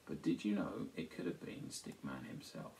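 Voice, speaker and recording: A man in his thirties or forties reading a picture book aloud to children, pausing to explain it simply. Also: a bass voice, darkish tone, a little hiss or hum, poor audio. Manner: frequently checks with "okay", explanatory. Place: small furnished room at home. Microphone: device camera at about arm's length.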